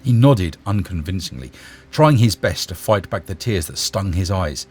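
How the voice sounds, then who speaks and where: Deep-voiced man reading a story expressively. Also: expressive, normal speed, slightly rough. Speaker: narrator and story reader. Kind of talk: expressive short story narration.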